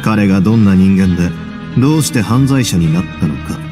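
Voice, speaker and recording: An actor playing a composed, wry young duke who runs a prison in a game, measured and nonchalant with quiet authority. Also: male voice, low-pitched, expressive, music in the background. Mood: reflective. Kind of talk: game voice acting.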